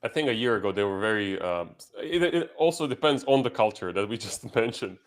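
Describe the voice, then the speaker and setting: A man's voice: on the low side, expressive, brisk. A man from Croatia with a Croatian accent, talking casually and chattily as a guest on a panel, often interrupting himself. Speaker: guest, tech entrepreneur.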